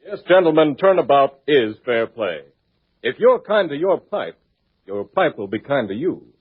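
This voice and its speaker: A man reading a scripted 1940s radio advertisement as a commercial pitchman. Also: sing-song, normal speed, husky. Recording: tinny narrow-band vintage radio recording.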